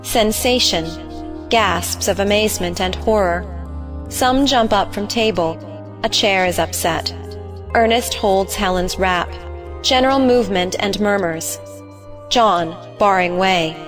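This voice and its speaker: A woman's voice: medium pitch, a little slow. Female narrator reading terse stage directions, faint music.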